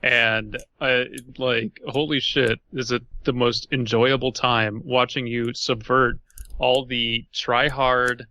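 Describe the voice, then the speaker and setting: Guy cohost joking around, really bright punchy voice, medium pitch. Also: little pitch movement, slow, muffled recording. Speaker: podcast co-host. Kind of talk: casual comedic banter.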